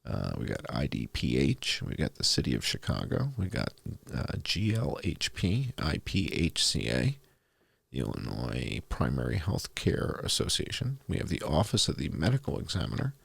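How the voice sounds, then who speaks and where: A man hosting a commentary, reading documents aloud in a deliberate, announcer-like way with dry, sardonic asides. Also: very deep voice, creaky, slightly rough, no echo. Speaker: host presenting and reading documents.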